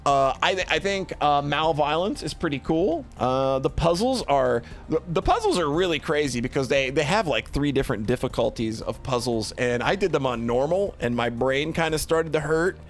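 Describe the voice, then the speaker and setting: A man's voice: on the high side, expressive, moderate pace. A man giving a casual, unscripted review as a streamer, often repeating and restarting phrases as he thinks aloud.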